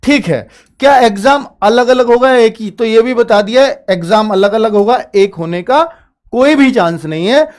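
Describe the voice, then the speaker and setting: A man's voice: very high-pitched, moderate pace. A man speaking as an exam coaching teacher, explaining things informally to students and often answering his own questions. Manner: instructive, asks questions and answers them himself.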